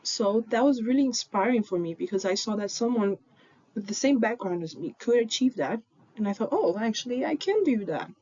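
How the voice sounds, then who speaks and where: A woman's voice: on the high side, lively intonation, fairly quick, a little mellow. A young woman from Brazil with a Brazilian accent, Portuguese her first language, telling her own story in an earnest way with frequent ums. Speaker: PhD student in genetics. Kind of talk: personal story presentation. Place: home in Fortaleza, recorded remotely. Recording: dull lo-fi recording with faint noise.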